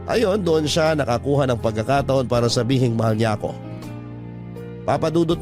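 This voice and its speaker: A man narrating a listener's love story in scripted, classic-radio style with a calm, storytelling delivery. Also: medium pitch. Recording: music bed under voice.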